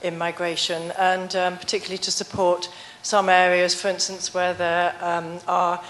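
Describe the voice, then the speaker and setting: A woman in the audience asking a question in a measured way, pausing to find her words. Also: fairly low pitch, monotone, fairly bright tone, a little hiss or hum.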